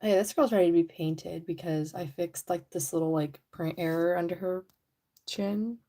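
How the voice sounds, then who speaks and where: A young woman hosting a casual livestream, chatty and giggly, her voice a little raspy from allergies. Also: fairly low pitch, fairly even intonation, normal speed, mellow. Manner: chatty, giggly, rambling with many "like"s. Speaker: livestream host. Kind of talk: casual livestream chat while painting a figure. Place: indoors at home.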